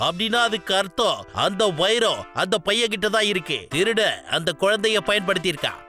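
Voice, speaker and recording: Blustering cartoon cop, high-voiced and pompous, exasperated delivery over a music bed. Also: male voice, a little fast, bright, forceful tone. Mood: alarmed, urgent. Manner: pompous, declamatory. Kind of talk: animated cartoon dub voice acting. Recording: music under the voice.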